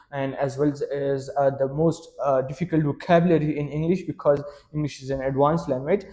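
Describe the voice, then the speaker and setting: Young man, first language Pashto, exam candidate, hesitant, fillers, mellow voice. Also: mid-range voice. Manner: hesitant, many fillers and restarts. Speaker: test candidate. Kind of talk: mock speaking exam answers.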